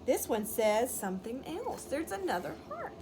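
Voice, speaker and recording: Lady teacher doing a storytime for little kids, really up and down expressive voice, asks questions, outside w noisy background and wind chime. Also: high voice, moderate pace. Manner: instructive, explains words simply, checks understanding. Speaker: teacher reading to young children. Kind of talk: picture-book read-aloud with questions to children. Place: outdoors. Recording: clearly noisy outdoor ambience, wind chime. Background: birds chirping, wind chime.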